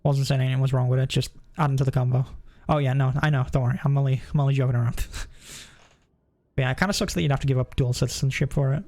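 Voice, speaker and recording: A man's voice: medium pitch, steady tone, very fast, dark tone. A young man from the United Kingdom streaming a game, chatting casually with viewers in a rambling, hesitant way, often correcting himself and joking. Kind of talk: casual chat with stream viewers while gaming.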